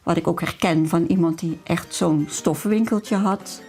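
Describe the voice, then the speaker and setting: A woman's voice: lowish voice, moderate pace, over music. A middle-aged woman talking about her hobby and memories in a personal interview, as a hobbyist. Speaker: miniature-making hobbyist being interviewed.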